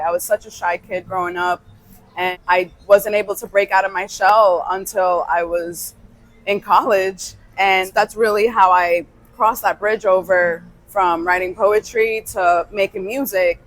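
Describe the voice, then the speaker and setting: A woman's voice: medium pitch, a little slow, low-quality recording. Young woman telling her story. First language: Spanish. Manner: relaxed storytelling, laughs easily. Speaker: guest musician. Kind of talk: casual interview talk.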